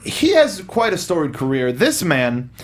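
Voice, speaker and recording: Man, slightly high voice, joking panel host, casual chat, noticeable background noise. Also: huge pitch swings, measured pace. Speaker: host of a film review show.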